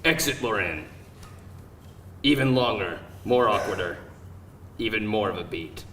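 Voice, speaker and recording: A man's voice: on the low side, animated, a little slow, a bit bright, echoey room. Man, stage-direction reader, scripted narration, distant mic, faint noise. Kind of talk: reading screenplay stage directions aloud.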